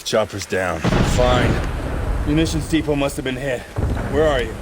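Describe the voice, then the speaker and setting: A man's voice: medium pitch, animated, moderate pace, very noisy, close to an everyday voice. Man as a dry, clipped covert operative. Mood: tense, focused. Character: terse, dry, seasoned male covert operative. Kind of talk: video game cutscene acting. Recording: loud music over the dialogue.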